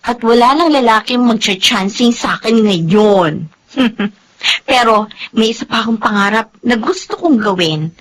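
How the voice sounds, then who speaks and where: An actress with a Filipino accent playing a modest, sensible young seamstress friend in a radio drama, earnest and expressive. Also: female voice, on the high side, measured pace, a little hiss or hum, rough recording. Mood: earnest, content.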